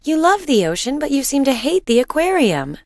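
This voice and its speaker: A woman's voice: sits high, very animated, normal speed, darkish tone, no echo. An actress voicing a caring, exasperated wife and mother in a video game, speaking expressively and earnestly. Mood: puzzled, exasperated.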